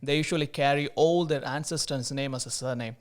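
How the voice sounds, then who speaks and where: A man's voice: mid-range voice, fairly even intonation, fairly quick. A man from Tamil Nadu whose first language is Tamil, speaking English with a Tamil Indian accent, chatting as an interview guest with many fillers and restarts. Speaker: guest, religious brother in training. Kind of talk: casual interview chat.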